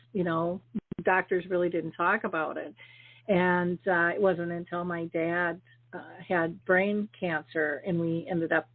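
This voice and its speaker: A woman hosting an interview show, chatty and filling pauses with "um" and "you know". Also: lowish voice. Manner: chatty, full of fillers and warm thanks. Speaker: podcast host. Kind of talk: casual interview chat. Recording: phone-like video call audio.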